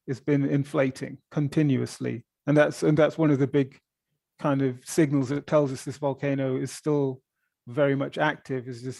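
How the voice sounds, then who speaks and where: Guy answering press questions as the expert, flat mellow voice, bit gravelly, hesitant, keeps repeating words. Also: fairly high pitch, dry recording. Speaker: volcano observatory director answering press questions. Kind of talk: spontaneous press conference answers.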